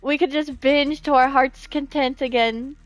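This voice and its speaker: A woman with a high-pitched voice hosting a casual game stream. Her pace is slow, but her manner is excitable and giggly as she chats with viewers. Soft music sits in the background. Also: no room sound, rough recording. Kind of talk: casual live-stream chat, reading out comments.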